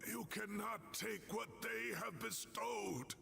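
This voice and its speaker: A man voicing an anguished, earnest scholar in a video game, pleading deliberately. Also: sits high, creaky, exaggerated voice. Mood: grave, protesting. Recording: loud dramatic music under the voice.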